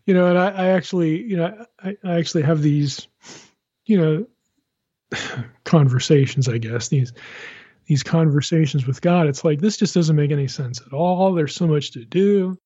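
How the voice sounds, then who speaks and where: A man with a husky, mellow voice, tired and low on energy, talking casually from his desk. He rambles, restarts his sentences and fills them with "you know." The recording is dull and lo-fi, with a dry sound. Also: moderate pace, pauses often, no room sound. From Pennsylvania. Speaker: podcast host. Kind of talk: casual podcast chat. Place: sitting at a home desk.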